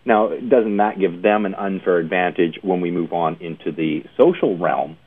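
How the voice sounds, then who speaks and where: A man's voice: low-pitched, normal speed. A man hosting an interview in a conversational style, working through long-winded, hesitant questions. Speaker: interview host. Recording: narrow phone-like band, lo-fi internet radio.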